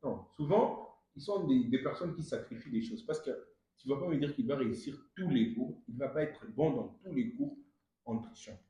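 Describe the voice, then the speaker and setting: A man's voice: medium pitch, moderate pace, mellow. A young man hosting a casual conversational interview in a very echoey room. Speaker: podcast host.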